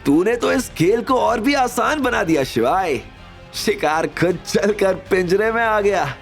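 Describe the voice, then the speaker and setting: A man voicing a sly, menacing hitman who gloats in a creaky voice in an audio drama. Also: sits high, expressive, measured pace, faint music behind, voice pushed up, full character voice. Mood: smug menace. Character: sly, gloating male hitman stalking his prey. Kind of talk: Hindi audio drama acting.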